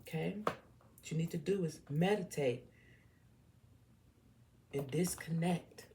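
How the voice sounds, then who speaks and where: A woman's voice: low voice, slow, background noise. A woman with a Southern US accent giving an informal tarot reading, speaking bluntly and emphatically and often repeating herself. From southern United States. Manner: emphatic, repetitive, blunt, checks in with "okay?". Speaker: tarot reader.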